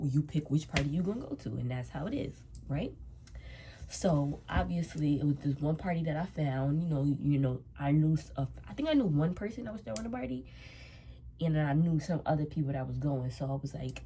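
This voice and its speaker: A woman in her twenties talks in a low, mellow voice, her nose stuffed up from a cold. She rambles through a casual storytime, circling back and repeating herself while she does her makeup. A faint hum sits behind her. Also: rough recording. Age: 20s. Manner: rambling, repeats herself, loose slangy talk. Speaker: vlogger.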